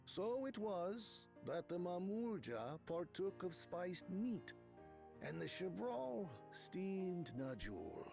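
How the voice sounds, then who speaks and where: A man's voice: sits high, big pitch swings, slow, creaky, music in the background, heavily acted. An actor voicing a solemn male narrator who recounts a remembered legend in a game. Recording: game score playing behind.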